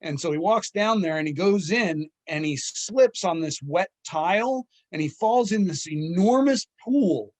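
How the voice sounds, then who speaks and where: A man's voice: high voice, expressive, a little slow, hardly any pauses, darkish tone. A man hosting a casual group discussion, telling a long joke with many restarts. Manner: rambling storyteller with restarts. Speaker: podcast host.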